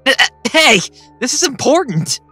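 A man's voice: extremely high pitch, big pitch swings, moderate pace, pushed and bright, slightly rough, soft background music, full character voice. A man voicing an awkward, meek teenage schoolboy in a comic dub, hesitant and apologetic. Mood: earnest, self-deprecating. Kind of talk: dramatic comic dub acting.

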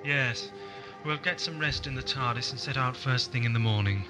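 An actor playing a wry, suspicious time traveller in a sci-fi audio drama, dry and brisk. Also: male voice, mid-range voice, over loud music, lightly acted, rough recording. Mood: worried.

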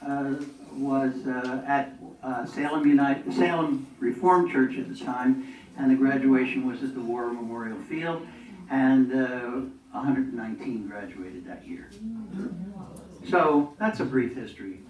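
A man's voice: mid-range voice, unhurried, darkish tone, a little hiss or hum. An older man giving a brief history in a hesitant way, full of fillers. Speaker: speaker. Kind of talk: historical overview. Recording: echoey room, distant mic, crowd.

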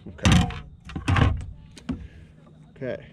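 A man in his thirties in a kayak on a lake, casually explaining a water test with fillers and thinking aloud. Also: very slow. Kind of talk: casual outdoor demonstration. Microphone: action camera at arm's length. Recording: noisy outdoor camera audio.

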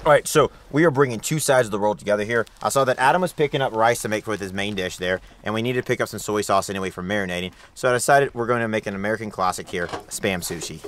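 A man's voice: on the low side, fast, hardly any pauses, fairly bright tone, slight background noise. A young adult man talking casually as a vlog co-host.

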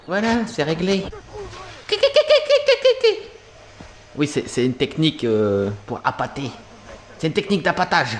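A man's voice: a little high, big pitch swings, moderate pace. Man playing a swooping, cocky cartoon hunter. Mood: showy. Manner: theatrical, swaggering, mock-serious. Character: cocky foul-mouthed male goat hunter, crude action buffoon. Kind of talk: cartoon mock-adventure narration in French. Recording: music behind the voice. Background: music.

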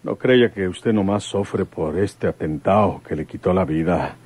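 A man with a Mexican accent playing a gruff older rural police commissioner, plain-spoken and grieving, in an old radionovela. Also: low-pitched, measured pace, a little mellow, no echo. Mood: wounded, earnest. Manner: rustic countryside speech.

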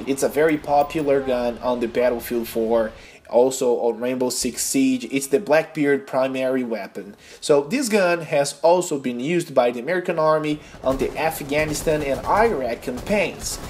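A young man with a Brazilian accent narrates his vlog in earnest, slightly halting English. His voice sits at a middle pitch, and a faint noise runs underneath the recording. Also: a little mellow. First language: Portuguese. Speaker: gaming YouTuber. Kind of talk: casual voice-over vlog.

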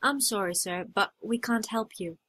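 A young adult woman acting out short scripted everyday dialogues for a listening test, with clear expressive delivery. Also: medium pitch, moderate pace.

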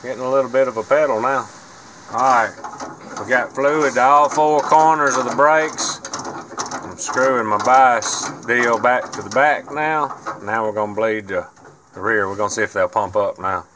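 A man's voice: medium pitch, normal speed, fairly bright tone, a little hiss or hum. A man, talking casually and plainly as he drives and works on a race car. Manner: folksy, plain-spoken, rambling. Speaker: vlogger and racer. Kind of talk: casual vlog narration. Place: inside a truck cab, then a race car cockpit.

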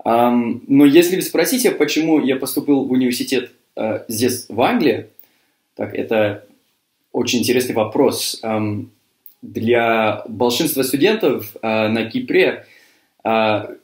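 A young man who grew up in Cyprus and speaks English as his first language talks through his answers in a learned language. He hesitates and restarts often, in a mid-pitched voice. The room around him is echoey. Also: a little slow, darkish tone. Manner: hesitant, many fillers and restarts. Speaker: university student.

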